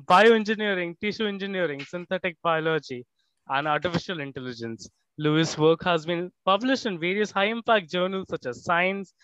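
Male podcast interviewer, high-pitched, formal delivery; dry, muffled lo-fi sound. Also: fairly bright tone, smooth, no echo. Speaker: podcast host. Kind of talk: scripted introduction and interview questions. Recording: dull, muffled lo-fi audio.

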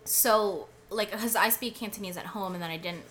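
A woman's voice: mid-range voice, moderate pace, fairly bright tone, slight background noise. A woman co-hosting a podcast from home, chatting casually, laughing often and filling with "like". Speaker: podcast co-host. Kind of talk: casual chat.

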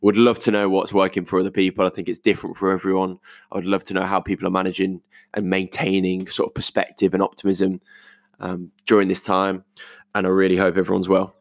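Low, croaky young British man. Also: monotone, fast, no echo, studio quality. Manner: rambling, informal, thinking aloud. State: croaky voice today. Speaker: podcast host. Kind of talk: casual solo voice note, reflective. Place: multi-purpose living room at home.

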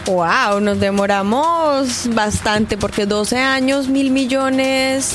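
A woman's voice: on the high side, slow pace, over music. A woman co-hosting a radio show, chatting casually in a playful, giggly way with speech. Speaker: co-host.

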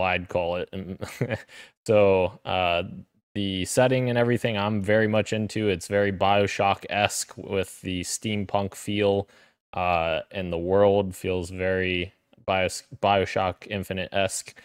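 A man's voice: low voice, smooth, dry recording, clean studio sound. A man co-hosting a casual podcast, talking with enthusiasm and plenty of fillers. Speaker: podcast co-host.

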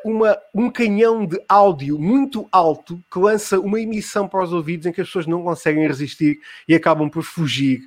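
A man with a high voice and a European Portuguese accent hosts a comedy news show. He presents casually, chatting his way through items as the show's host.

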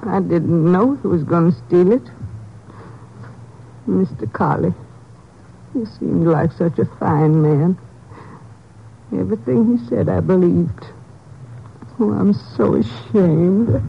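An actress playing an ashamed, destitute old widow in a radio crime drama, halting and despairing in plain folksy speech. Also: female voice, fairly low pitch, vocal fry, a little hiss or hum. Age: older. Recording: muffled vintage radio drama recording.

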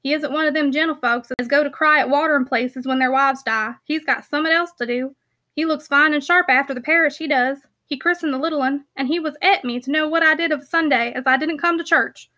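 A woman's voice: high-pitched, fairly quick, bright, forceful tone. Woman voicing a folksy, earnest country packman. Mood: chatty, warm. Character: plainspoken rustic packman, man, good-hearted, loyal, talkative. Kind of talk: audiobook character voice.

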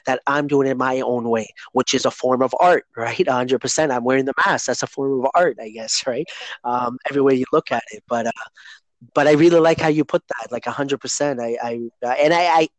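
A man's voice: mid-range voice, brisk, no echo. A man hosting a daily podcast, chatting casually in a rambling way and often tagging "right?" onto his points. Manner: rambling, keeps asking "right?". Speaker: podcast host.

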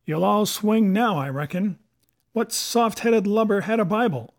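A man's voice: sits high, a little slow, pitched above the performer's own voice. A man voicing a cunning, defiant pirate captain in a storybook, with sarcastic questions and rustic sailor's talk. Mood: scornful. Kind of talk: dramatic audiobook character voice.

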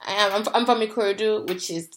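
A woman's voice: mid-range voice, fast. A woman from Nigeria co-hosting a podcast, talking casually and hesitantly, often repeating words as she thinks. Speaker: podcast co-host. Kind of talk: casual chat.

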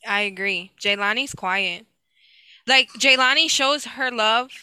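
A woman in her thirties hosting a casual family chat over a video call, hesitant with fillers and quick to laugh. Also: fairly high pitch, sharp tone, clean tone, dry recording. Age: 30s. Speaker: host asking family questions.

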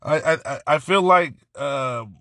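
A man hosts a casual podcast in a hoarse, raspy voice that sits fairly high. He talks excitedly and rambles, restarting his sentences again and again. The sound is dry and close, with no room around it. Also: unhurried, no echo. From Central California. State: hoarse from yelling. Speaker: podcast host. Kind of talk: casual solo podcast talk.

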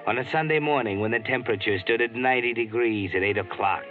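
An actor playing a mysterious male narrator who walks by night, with slow, ominous storytelling in 1940s radio narration. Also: mid-range voice, lightly acted. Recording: tinny old radio broadcast with music.

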